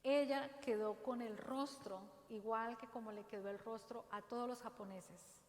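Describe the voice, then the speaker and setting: A woman with a Dominican accent gives an earnest, exhorting faith talk in a church, speaking slowly and deliberately. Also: on the high side, steady tone, a little mellow. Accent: Dominican Spanish. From Dominican Republic. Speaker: parish speaker. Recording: roomy church echo, faint ambience.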